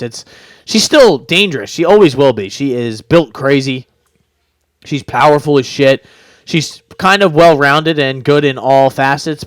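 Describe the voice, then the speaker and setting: A young man co-hosts a casual sports podcast. His voice is smooth and clear, recorded close on a dry mic with no room sound. He talks bluntly, swears freely and teases his partner. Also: medium pitch, dry recording. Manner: blunt, profane, teasing. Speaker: podcast co-host.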